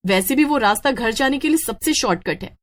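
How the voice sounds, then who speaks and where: A woman's voice: on the high side, animated, fairly quick, lightly acted. A woman voicing an earnest, emotional young working woman in a horror story. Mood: indignant. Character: upset, earnest young working woman who met ghosts. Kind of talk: Hindi horror story dialogue.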